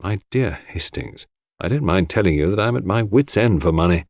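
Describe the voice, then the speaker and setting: A man voicing a gloomy, curt English country gentleman in an audiobook, frank and grumbling in manner. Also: deep voice, animated, moderate pace, dry recording, studio quality. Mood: gloomy, bitter. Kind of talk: audiobook character dialogue.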